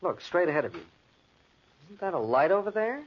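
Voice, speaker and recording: A man's voice: high voice, big pitch swings, fairly quick. A man playing a self-assured, teasing bachelor writer in a 1940s radio drama, with a breezy, bantering manner. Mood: wary. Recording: dull lo-fi 1940s radio recording.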